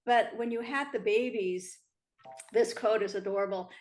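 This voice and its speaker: A woman's voice: fairly high pitch, moderate pace, faint music behind. A woman hosting a TV interview, asking eager, admiring questions. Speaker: interview host.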